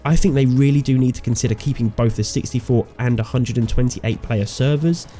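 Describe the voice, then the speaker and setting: A man's voice: mid-range voice, brisk, dark tone, soft background music. A man with a cold and a slightly nasal voice, reading a scripted, opinionated game review as host. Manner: opinionated, sardonic. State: sick, nasal voice. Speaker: gaming video host.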